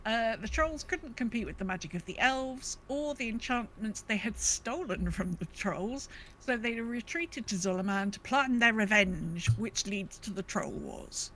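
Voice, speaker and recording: A woman co-hosting a podcast, reading a game lore recap casually with wry, deadpan asides. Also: on the high side, unhurried, low-quality recording. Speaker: podcast co-host. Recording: faint background noise.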